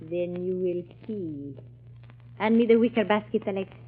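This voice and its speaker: An actress playing a deadly exotic villainess who speaks with mock politeness and chant-like menace in 1940s radio style. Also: female voice, fairly low pitch, husky. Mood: menacing, hypnotic. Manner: slow, incantatory, mock-polite. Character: exotic villainess, cobra charmer, silky and deadly. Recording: tinny, noisy old radio recording.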